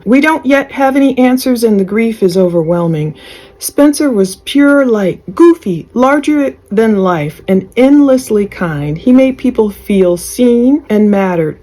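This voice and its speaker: A woman delivers true crime news commentary in a mellow, relaxed voice. Her pitch sits in an ordinary middle range, and she talks through updates conversationally, speculating as she goes. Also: low-quality recording.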